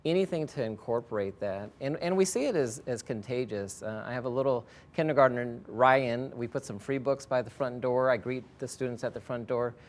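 A man's voice: mid-range voice, brisk, few pauses. A man who works as a school educator, giving conversational answers as an interview guest.

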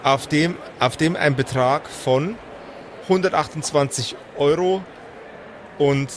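A man with a fairly high voice narrates as game master. He improvises in measured, searching phrases, with faint noise in the background.

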